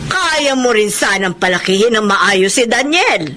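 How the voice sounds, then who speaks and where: Blunt, animated elderly grandmother. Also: medium pitch, measured pace. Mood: frank. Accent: Filipino. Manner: blunt, expressive, exclamatory. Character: blunt, chatty elderly grandmother, meddling but remorseful. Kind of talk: Filipino radio drama acting.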